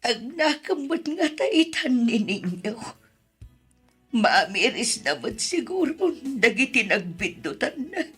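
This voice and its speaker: A woman's voice: fairly high pitch, sing-song, takes it slowly, fairly bright tone, breathy edge, full character voice. A woman playing a grieving, doting elderly grandmother in an Ilocano radio drama, coaxing tenderly and with emotion. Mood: sorrowful. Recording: sentimental music behind the voice.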